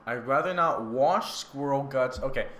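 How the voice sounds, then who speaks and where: A man's voice: mid-range voice, moderate pace, fairly bright tone. A man chatting casually and jokingly as a co-reader, interrupting with disbelief and often laughing. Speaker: guest co-reader. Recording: roomy echo with faint background noise.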